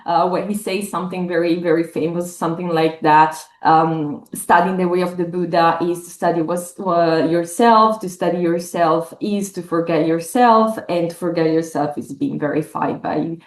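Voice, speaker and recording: Scholar guest: Italian-accented woman in her thirties, low-pitched, reflective, recorded in a reverberant room. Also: fairly even intonation, moderate pace, hardly any pauses. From northern Italy. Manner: thoughtful, many fillers, warm laughs. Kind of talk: academic interview.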